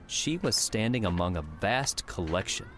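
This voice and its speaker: Narrator for fantasy audiobook: man, low register, scripted storytelling delivery, light music bed. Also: expressive, moderate pace, a bit bright.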